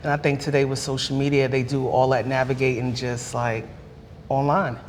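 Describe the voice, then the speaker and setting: A woman in her forties, a comedian, chatting on a talk panel in a relaxed, conversational way. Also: rumbling low, normal speed. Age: 40s. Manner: relaxed, conversational, many fillers. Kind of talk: candid panel chat. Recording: reverberant room with faint noise.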